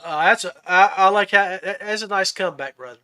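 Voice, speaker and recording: A man with a notably high voice hosts a casual podcast. His tone is bright and forceful. He talks in a folksy way, addressing people like old buddies. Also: fast talker, a little hiss or hum. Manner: folksy, buddy-like, says brother and man. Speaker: podcast host. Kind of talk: casual chat.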